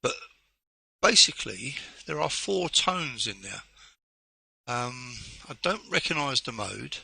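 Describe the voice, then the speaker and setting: A man on an amateur radio net, explaining technical details step by step in a halting, casual way. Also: mid-range voice, big pitch swings, gravelly, bright, forceful tone, slightly rough, no room sound, poor audio. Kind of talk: casual over-the-air chat.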